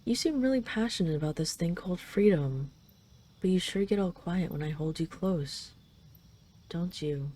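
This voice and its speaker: Woman acting a mellow, low-voiced tender dragon queen. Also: animated, normal speed, a little hiss or hum, close to an everyday voice. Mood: teasing, affectionate. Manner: teasing, intimate, coaxing. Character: possessive yet tender dragon queen doting on her captive. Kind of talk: intimate roleplay audio addressed to the listener.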